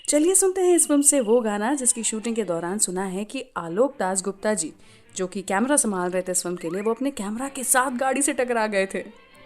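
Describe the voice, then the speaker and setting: An Indian woman hosting a radio show, telling scripted stories in a chatty way straight to the listener and mixing in English phrases. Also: on the high side. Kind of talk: scripted radio storytelling and song introductions.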